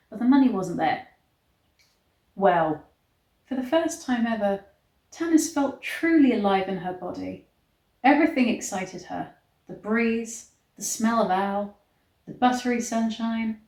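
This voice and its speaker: Slow-paced woman reading aloud, roomy echo. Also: medium pitch, a little mellow. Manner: mock-dramatic reading broken by blunt, incredulous commentary. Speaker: online reader and commentator. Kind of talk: reading a novel aloud with incredulous asides. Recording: roomy, echoey sound.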